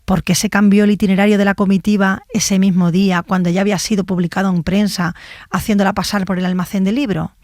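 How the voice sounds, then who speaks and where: A woman's voice: mid-range voice, little pitch movement, fast talker, darkish tone, no echo, clean studio sound. A woman giving scripted documentary narration as a podcast journalist.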